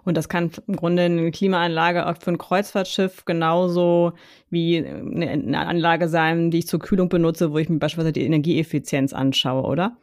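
A woman's voice: on the low side, steady tone, normal speed, a little mellow, no echo, studio quality. A woman from Hamburg co-hosting a podcast, asking a guest friendly questions. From Hamburg, Germany. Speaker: podcast co-host. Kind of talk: interviewing.

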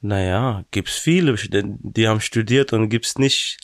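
A man in his twenties from Afghanistan, a guest chatting in halting non-native German and laughing easily. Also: fairly low pitch, dry recording, clean studio sound. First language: not German. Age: 20s. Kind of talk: casual interview chat.

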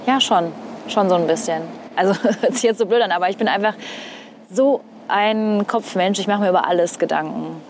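A woman's voice: mid-range voice, brisk, a little hiss or hum. A young woman from northern Hesse, a TV weather presenter, chatting casually and thoughtfully in an outdoor interview, with many fillers. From northern Hesse, Germany.

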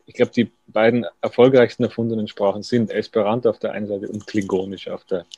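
A man's voice: on the low side, level tone, fast talker, dark tone, slightly rough, no room sound, dull audio. A man, a writer thinking aloud in a thoughtful, self-deprecating way as a radio interview guest.

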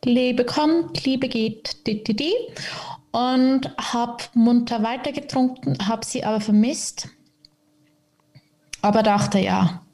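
Woman, interview guest, husky mellow tone, candid and self-mocking. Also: fairly high pitch. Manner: open, reflective, self-mocking. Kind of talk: candid personal interview talk.

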